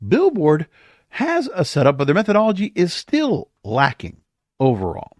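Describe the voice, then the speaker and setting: Animated, higher-voiced male podcast host. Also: normal speed, no echo. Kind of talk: casual solo commentary.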